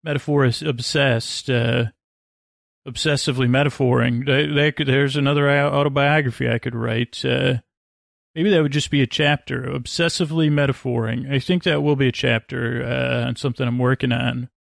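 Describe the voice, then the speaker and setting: A man with a creaky voice hosting a sleep podcast, rambling gently and lullingly, often breaking off and drifting into tangents. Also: medium pitch, steady tone, dry recording, clean studio sound. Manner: meandering, digressive, halting with self-interruptions. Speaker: podcast host. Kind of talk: rambling, soothing bedtime monologue.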